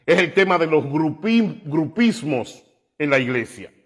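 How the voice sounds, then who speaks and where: A man preaching on the radio, slow and emphatic, climbing to loud, impassioned peaks. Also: fairly high pitch, expressive, breathy edge. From New York. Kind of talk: preaching commentary.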